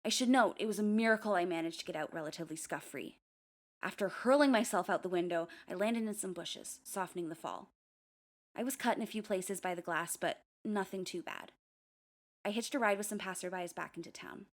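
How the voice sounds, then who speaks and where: A young woman playing a narrator who recounts eerie experiences in a measured, confiding way, in an audio drama. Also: fairly low pitch, natural voice. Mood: confiding, serious.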